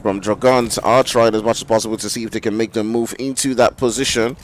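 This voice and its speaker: A man with an African English accent giving live play-by-play commentary on an esports match, with steady fillers as he calls the action. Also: on the low side, slight background noise. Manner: rapid excited calls with filler "you know". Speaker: esports caster. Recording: game gunfire and vehicle sounds behind.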